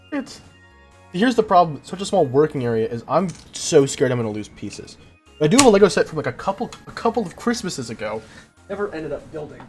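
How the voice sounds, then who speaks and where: Young guy streamer rambling to chat, pitch jumping all over, sweary and self-mocking, bit of music behind. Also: a little mellow. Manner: slangy, profane, self-deprecating. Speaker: livestreamer, host. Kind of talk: casual livestream chat while building.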